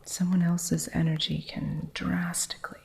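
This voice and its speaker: A woman performs an eccentric witch who keeps a cluttered curio shop, whispering slowly and softly right into a close microphone. Her low voice has a gravelly, fry-tinged texture. She is possessive and a little stern, like a keeper of guarded secrets in an ASMR roleplay. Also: level tone, a little hiss or hum. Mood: hushed whispering. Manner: soft, unhurried whispering with a stern, territorial edge.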